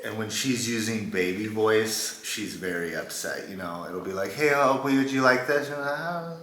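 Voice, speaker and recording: A man's voice: lowish voice, animated, normal speed, fairly bright tone, reverberant, a little hiss or hum. A young man chatting casually with his partner about their relationship, laid-back, with many fillers like "you know" and "like". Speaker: co-host of a couple's channel. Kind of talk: casual couple chat, storytime.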